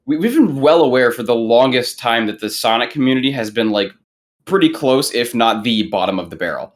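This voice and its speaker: A young man chatting casually as a podcast panelist, circling haltingly toward his point. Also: medium pitch, expressive. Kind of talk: casual chat.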